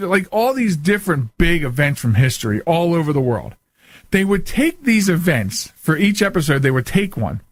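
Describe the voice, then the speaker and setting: A man's voice: a little high, no room sound. An American man hosting a radio talk show in a casual, chatty, folksy and joking way.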